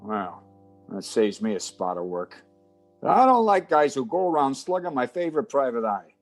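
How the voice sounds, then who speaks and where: Man playing an animated tough-talking radio police detective. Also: medium pitch, normal speed, soft background music. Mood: interested. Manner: slangy, tough-guy banter. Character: gruff-talking, friendly male police detective of radio drama. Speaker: community theatre voice actor. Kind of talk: 1940s radio detective acting.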